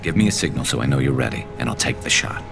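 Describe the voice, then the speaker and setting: Grim sniper, deep gravelly voice, clipped low delivery, music behind. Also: male voice, lively intonation, fast talker, heavily acted. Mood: tense, quiet. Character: grim male ex-cop sniper seeking revenge.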